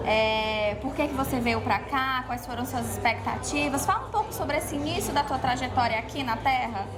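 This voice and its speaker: A woman's voice: high-pitched, normal speed, loud music behind the voice. A woman hosting an interview, asking questions in a polite, welcoming manner. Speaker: interview host.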